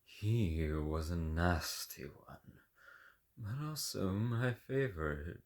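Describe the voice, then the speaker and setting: Brief: a man playing a volatile, theatrical male artist, hushed one moment, shrieking the next, with a slow drawl. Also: low voice, lively intonation, full character voice. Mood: mocking. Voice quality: theatrical, swings between whispers and shrieks. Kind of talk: video game character lines.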